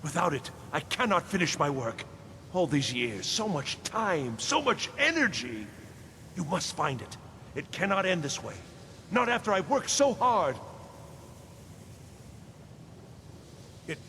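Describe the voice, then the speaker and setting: An actor playing an anxious, obsessive and self-important mage scholar in a fantasy video game, with a fretful, halting, pleading manner. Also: male voice, big pitch swings, sharp tone, exaggerated voice. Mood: desperate, guarded. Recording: long reverb with clear low rumbling noise.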